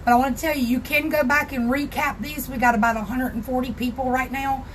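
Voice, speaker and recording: A woman with a Southern US accent and a hoarse, raspy voice, chatting casually through a live crafting tutorial from her home craft room and greeting viewers by name. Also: high-pitched, steady tone, quick pace, a bit bright, a little hiss or hum, rough recording.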